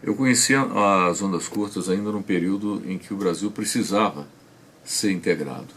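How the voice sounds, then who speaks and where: A man speaking as a radio listener in an interview, reflecting on his experiences and haltingly searching for words. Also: deep voice, fairly bright tone, a little hiss or hum. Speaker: shortwave listener being interviewed. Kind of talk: reflective personal recollection.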